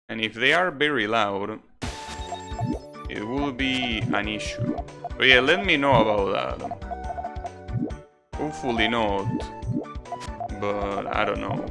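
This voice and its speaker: A man giving casual, rambling live commentary as a game streamer, chatting and explaining as they go. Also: lowish voice. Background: video game music.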